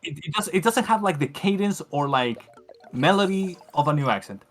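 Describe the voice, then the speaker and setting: A man's voice: on the high side, animated, moderate pace, background noise. A man whose first language is not English, chatting animatedly on a gaming stream and often searching for words. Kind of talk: casual gaming chat.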